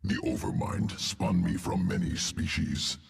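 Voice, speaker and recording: An actor playing an inhuman insectoid evolution master in a video game, clinical and detached, speaking in clipped, guttural phrases. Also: male voice, sits low, faint music behind, exaggerated voice. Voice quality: gravelly, guttural. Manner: clipped telegraphic phrases without articles, deliberate. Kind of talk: video game character dialogue.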